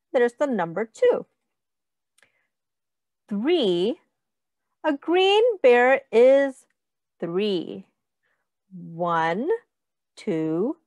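A woman teacher reading a picture book aloud to young children in a sing-song, deliberate, instructive way, with counting and questions. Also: fairly high pitch, darkish tone, no echo.

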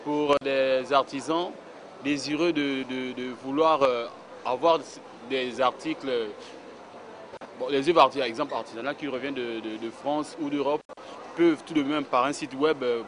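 A man, an artisan, answering a street interview in a halting way with many fillers and repeated words. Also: medium pitch. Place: indoor craft fair stall. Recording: steady background noise.

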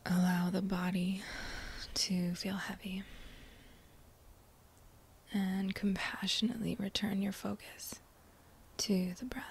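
A woman guiding a meditation in a breathy whisper, gentle and soothing, with long unhurried pauses between phrases. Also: medium pitch, little pitch movement. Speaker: meditation guide. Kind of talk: guided meditation, whispered soft-spoken.

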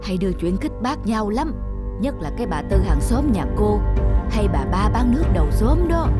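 A woman's voice: fairly high pitch, a little mellow. A meddling older village woman from a cartoon. She gossips in a raised, swooping voice, exclamatory and insinuating. Music sits behind her. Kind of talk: animated cartoon dubbing.

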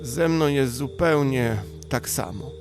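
A man voicing a naive, excitable young boy troll in a children's storybook, with an earnest, childlike manner. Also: mid-range voice, slow, over music, voice pushed up. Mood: quiet agreement.

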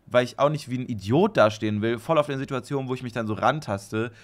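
A man's voice: mid-range voice, fast. Rambling young man, casual podcast talk. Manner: rambling, swears often, laughs easily, hesitant with fillers. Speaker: podcast co-host. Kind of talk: casual chat.

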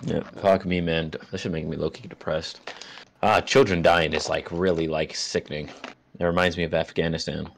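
A man's voice: low voice, steady tone, moderate pace, vocal fry, slight background noise. A man bantering casually with friends in a blunt, sweary way. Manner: blunt, profane.

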